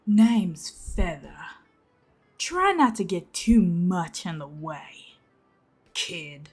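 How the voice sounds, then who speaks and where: A woman's voice: fairly low pitch, sing-song, drawn-out words, darkish tone, husky, soft background music, heavily acted. A woman voicing a hostile, irritated young female inkling in a story, with a short, snarling delivery. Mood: curt, irritated.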